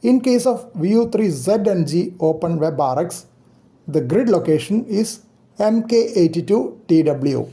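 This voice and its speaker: A man's voice: high-pitched, lively intonation, a little slow, warm tone. A man giving an explanatory, scripted narration for an amateur radio tech video.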